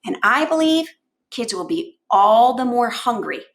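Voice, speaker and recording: A woman giving conversational instructional advice to teachers in an emphatic, animated manner, often posing rhetorical questions. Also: fairly high pitch, unhurried. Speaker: education consultant answering teachers' questions. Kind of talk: conversational instructional talk to camera.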